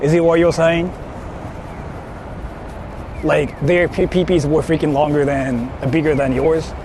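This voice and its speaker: A man's voice: on the high side, steady tone, unhurried. A man livestreaming outdoors, chatting casually and informally, repeating himself and swearing as he rambles. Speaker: livestreamer hosting an outdoor stream. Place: outdoors on Tokyo streets. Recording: noisy city street with passing traffic.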